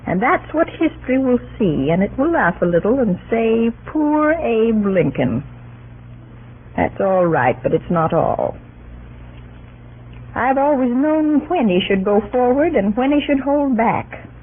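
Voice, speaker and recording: A devoted, plain-spoken wife with a sharp tongue and earnest pride. Her voice is high and husky, with slow theatrical phrasing. It comes through a thin vintage radio recording. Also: sing-song, heavily acted. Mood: proud, reflective. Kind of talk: 1940s radio acting. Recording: muffled, band-limited old radio recording.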